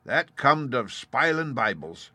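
A man voicing a rough, surly pirate mutineer with uneducated sailor speech in an audiobook. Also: medium pitch, very animated, takes it slowly, pushed and bright, no echo, pitched above the performer's own voice, exaggerated voice. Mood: grumbling.

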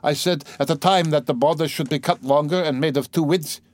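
Man voicing a frantic, haughty, high-pitched gentlewoman. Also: fairly quick, no room sound, lightly acted. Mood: frantic, scolding. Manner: lofty, wailing, rambling, abruptly switching to scolding. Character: distraught, haughty, ailing impoverished gentlewoman, frantic and scolding. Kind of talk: dramatised audiobook character reading.

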